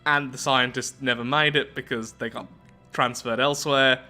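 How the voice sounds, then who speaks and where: Bright-voiced man doing game commentary. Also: medium pitch, fairly even intonation, normal speed. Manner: chatty, self-deprecating. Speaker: gaming video host. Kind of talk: casual game commentary with read-aloud storytelling. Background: faint music.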